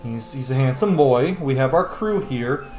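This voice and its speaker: A man's voice: medium pitch, lively intonation, moderate pace, a little mellow. A man hosting a casual video game playthrough, informal and sweary, musing aloud as he plays. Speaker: gaming host. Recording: roomy echo, faint game music. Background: faint game music.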